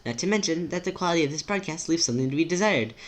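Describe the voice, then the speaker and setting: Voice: mid-range voice, expressive, rapid-fire, pitched above the performer's own voice, natural voice. An amateur reader voicing a poised, anxious mother who speaks in formal, precise, wordy sentences. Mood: frustrated.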